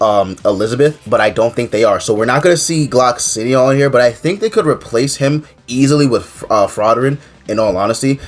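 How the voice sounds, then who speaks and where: This is a young man with a congested, stuffy-nosed voice at an ordinary middle pitch. He chats about a mobile game in a loose, rambling way, full of fillers and restarts. Soft music plays quietly behind him. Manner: rambling, filler-heavy, keeps saying "to be honest". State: stuffy nose from a cold. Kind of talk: casual game commentary to camera.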